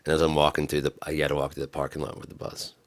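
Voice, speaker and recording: A man's voice: a bass voice, monotone, rapid-fire, no room sound, clean studio sound. A man tells a rambling, profane story, laughing often. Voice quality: raggedy voice from smoking and screaming. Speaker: vlogger telling a story. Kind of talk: casual storytelling monologue.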